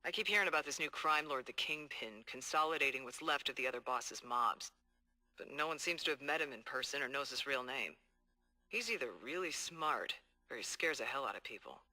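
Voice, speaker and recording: Game detective: hard-boiled, earnest man, intensely crisp and tense delivery, music underneath. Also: medium pitch, expressive, a little fast, bright, forceful tone. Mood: wary, troubled. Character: determined, hard-boiled male police detective dictating case logs. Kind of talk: video game audio log monologue.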